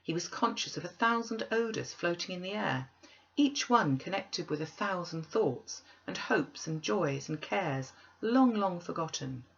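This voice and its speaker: A woman narrating a classic tale as a storyteller, with expressive, deliberate, dramatic phrasing. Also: lowish voice, vocal fry, husky, muffled recording.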